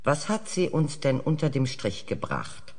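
An actor playing a measured, reproachful senior statesman, arguing deliberately in a dramatized television debate. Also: mid-range voice, wildly swooping pitch, darkish tone. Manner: deliberate, argumentative, rhetorical. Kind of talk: audio drama acting as TV debate. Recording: long hall reverb, played-back debate.

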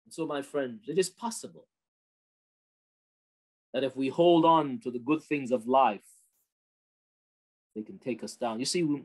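A middle-aged man delivers a sermon from a small office. His pitch rises and falls dramatically as he stresses key words. He preaches emphatically, with rhetorical questions and deliberate repetition. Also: darkish tone, low-quality recording. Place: small office with bookshelves, indoors. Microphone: webcam-style desktop setup at arm's length.

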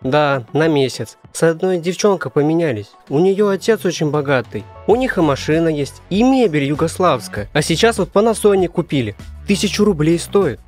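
A man's voice: on the high side, expressive, moderate pace, hardly any pauses, darkish tone, soft background music, pitched above the performer's own voice. A man voicing a brash, flippant schoolboy son in an audiobook comedy. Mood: excited, boastful. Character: cheeky, slangy schoolboy son.

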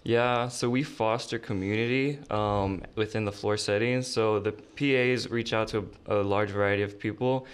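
A man's voice: lowish voice, fairly even intonation, moderate pace, hardly any pauses, clear, resonant tone. A young man from Bolivia, a graduating student, answering in an easygoing way on a studio mic. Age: young adult. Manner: easygoing, light laughs. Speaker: student guest. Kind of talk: casual interview answers. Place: studio room. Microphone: studio mic on boom arm.

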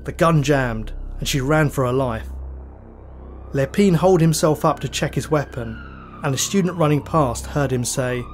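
Male true crime narrator, medium pitch, measured dramatic delivery for a podcast with music underneath. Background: background music.

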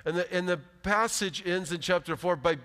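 A pastor in his fifties giving an animated, emphatic sermon from a church stage. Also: high-pitched, moderate pace, smooth. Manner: animated, emphatic preaching with big gestures. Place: large church stage, indoors. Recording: long hall reverb.

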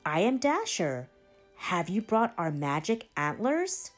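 A woman's voice: mid-range voice, very animated, takes it slowly, faint music behind. A woman voicing a direct, businesslike talking reindeer in a children's storybook. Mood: matter-of-fact.

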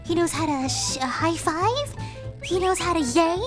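A sweet little cartoon pony girl, with a tiny, squeaky, extremely high voice. She is excitable and nervous, gushing and stammering like a small child while she chats through a game, with music clearly playing behind her. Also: normal speed. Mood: unsure, rambling. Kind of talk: in-character let's play commentary.

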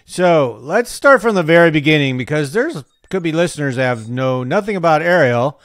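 Smooth-voiced upbeat podcast host. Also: male voice, a little high, expressive, normal speed, no echo, clean studio sound. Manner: upbeat, jokey introductions, brief affirmations. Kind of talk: interview podcast hosting. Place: home studio. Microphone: studio mic on boom arm.

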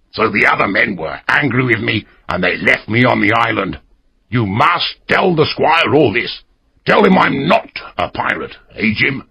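A man voicing an excitable, half-crazed old castaway marooned for years, high and pleading, his voice breaking. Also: vocal fry, husky, exaggerated voice. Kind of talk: audiobook character reading.

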